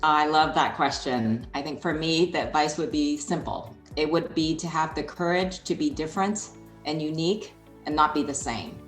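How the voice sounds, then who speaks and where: A woman from Hong Kong whose first language is Chinese, answering interview questions in a measured, composed way. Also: sits low, echoey room. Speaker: interview guest, HR executive.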